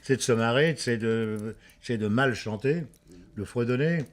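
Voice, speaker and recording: A man from France, an actor speaking in a casual interview, halting with many fillers and restarts. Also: medium pitch.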